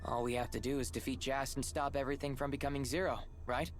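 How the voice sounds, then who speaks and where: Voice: medium pitch, little pitch movement, fast, natural voice. Actor as plucky young male RPG hero, cocky and casual, light music behind. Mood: determined. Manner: casual, confident. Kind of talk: video game voice acting.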